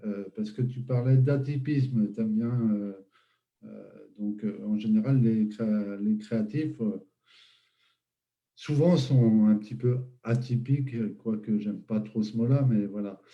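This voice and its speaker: Male host, measured and slow, dull lo-fi recording. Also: lowish voice. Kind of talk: interview hosting.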